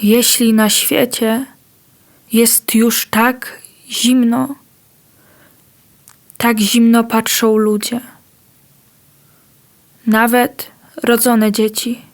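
A woman reciting a poem in an even, unhurried way. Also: fairly high pitch. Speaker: poem reader. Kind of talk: poetry recitation.

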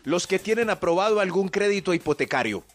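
A man's voice: high-pitched, brisk, over music. A man hosting a comic radio segment, teasing and playful as he banters with his co-hosts. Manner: playful, teasing, animated delivery. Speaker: radio host.

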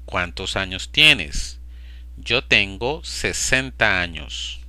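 A man slowly and deliberately repeating short phrases for language learners. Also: deep voice, expressive, sharp tone, dry recording. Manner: slow, deliberate, evenly paced. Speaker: Spanish teacher. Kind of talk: slow repetition drill for learners.

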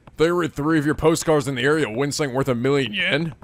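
A man doing a gruff, stoic yakuza hero. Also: fast talker, natural voice. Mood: serious. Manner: low, flat and serious.

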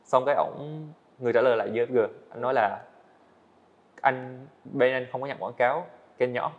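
An earnest eighteen-year-old man tells his story to a podcast host. He talks quickly at a mid pitch, and the sound is a little dull. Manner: earnest, storytelling, answers politely with "dạ vâng". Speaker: guest, animator. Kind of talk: podcast interview. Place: indoor studio set.

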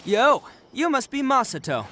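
A man's voice: very high voice, sing-song, normal speed, pushed and bright, slight background noise, exaggerated voice. An actor playing a proud, big-hearted young male oni gang boss, boastful and earnest, in dramatic video game style. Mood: casual. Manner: boastful, earnest, swinging in emphasis.

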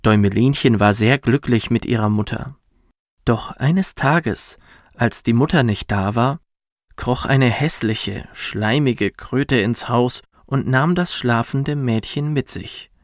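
A man narrating a children's bedtime story in a soft, soothing, measured way. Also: medium pitch, dry recording, clean studio sound. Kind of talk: gentle scripted storytelling for children.

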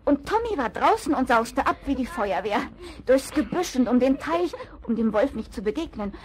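High woman telling a bedtime tale. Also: moderate pace. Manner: lively, acting out each figure. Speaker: storyteller aunt. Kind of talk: storybook narration in a radio play. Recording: faint hiss of an old vinyl record.

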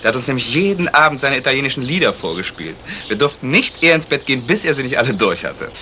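An actor playing a lazy, teasing young fiancé in a 1970s radio crime drama, with a flippant, mocking way of talking. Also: male voice, medium pitch, animated, fast talker, bright, forceful tone. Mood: mocking, amused. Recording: slightly noisy vintage radio recording.

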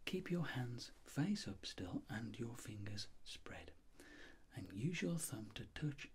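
A middle-aged man speaking in soft whispered ASMR, playing a clinic doctor giving gentle, unhurried instructions. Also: medium pitch, animated, a little hiss or hum.

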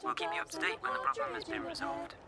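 A woman's voice: quick pace, sharp tone, in a whisper, close to an everyday voice. A woman playing a polite, apologetic train announcer in an audio drama, with formulaic delivery.

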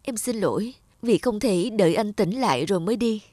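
Cartoon drama lead: actress as gentle young woman, sorrowful, earnest, mid-range voice. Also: mid-range voice, animated, brisk, dry recording, close to an everyday voice. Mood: sad, resolute. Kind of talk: cartoon drama acting with reflective narration.

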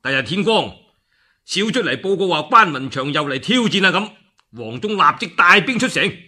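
A man's voice: fairly high pitch, sing-song, moderate pace, pushed and bright. A man with a Cantonese accent, a radio storyteller narrating a classical war tale theatrically, with exclamations and spoken sound effects.

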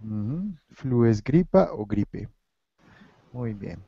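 A man's voice: medium pitch, expressive, slow, breathy edge, no echo. A man teaching a Spanish class over a video call, running a quiz game and mixing Spanish with English as he encourages his students. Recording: dull lo-fi video call audio.

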